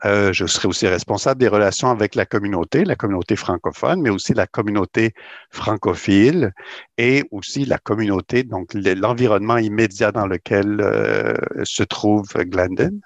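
A middle-aged Québécois man talks in a low, gravelly voice, recorded dry and close. He explains his work at an unhurried pace, full of hesitations and repeated words. He sounds like a university administrator being interviewed on radio. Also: expressive, no room sound, lo-fi sound. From Quebec. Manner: hesitant, many "euh" fillers and repeated words.

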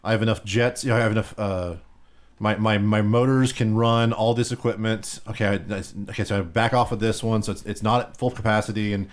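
A man's voice: lowish voice, steady tone, fairly quick. A man co-hosting a podcast, chatting casually about games, rambling with many restarts and fillers. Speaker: podcast co-host. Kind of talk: casual chat.

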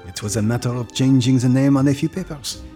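A man's voice: medium pitch, quick pace, mellow, faint music behind, lightly acted. An actor playing a reflective elderly survivor recalling his boyhood in an audio drama, wistful and reminiscing. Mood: nostalgic.

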